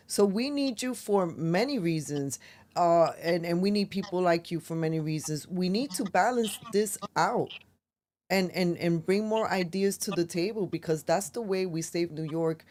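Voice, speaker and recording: A woman hosting a casual livestream show, chatty and quick to laugh, trailing into fillers as she talks. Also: lowish voice, a little mellow. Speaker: podcast host.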